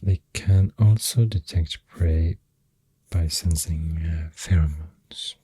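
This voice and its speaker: A man with a Swedish accent speaking softly in a halting, half-whispered ASMR ramble, close to the microphone. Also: deep voice, no room sound. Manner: hushed, breathy, half-whispered. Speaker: ASMR host. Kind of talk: soft-spoken ASMR rambling.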